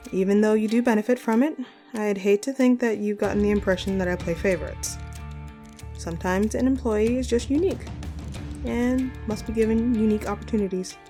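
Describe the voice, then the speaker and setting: A woman performing a composed, courteous female supervillain boss in a scripted audio roleplay, speaking to the listener in formal, measured phrases. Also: medium pitch, warm tone, close to an everyday voice. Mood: businesslike, reassuring.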